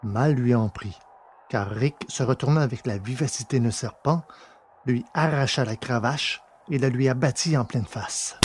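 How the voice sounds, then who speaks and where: A man with a slightly husky voice reading scripted fiction as an audiobook narrator. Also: medium pitch, normal speed, slight background noise.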